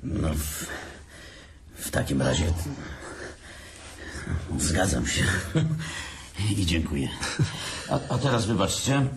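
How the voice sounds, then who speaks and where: An actor playing a bitter, morbid, hard-drinking brother in an audio drama, halting, repeating words and trailing off. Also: male voice, low-pitched, sing-song, background noise, exaggerated voice. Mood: solemn. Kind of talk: naturalistic audio drama acting.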